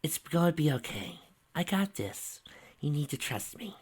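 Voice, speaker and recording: A woman voicing a determined mare pony, calm, resolute, raised pitch. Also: normal speed, husky, full character voice.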